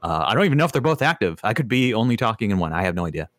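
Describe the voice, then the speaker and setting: A man's voice: fairly low pitch, big pitch swings, rapid-fire, fairly bright tone, no room sound, studio quality. A man hosting a casual podcast, probing his guest with wry questions and frequent laughs. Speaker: podcast host.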